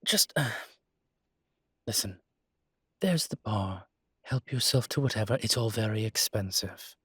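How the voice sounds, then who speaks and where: A man playing a suave ancient demon professor in an intimate roleplay audio drama, with a husky, breathy low voice and a dry, teasing, urbane manner. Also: animated, a little slow, pushed and bright, dry recording, heavily acted. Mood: bantering.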